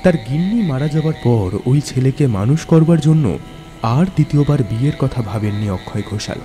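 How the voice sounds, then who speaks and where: A man's voice: medium pitch, animated, moderate pace, dark tone. A man narrating a scripted ghost story as a dramatic storyteller. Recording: music bed under narration.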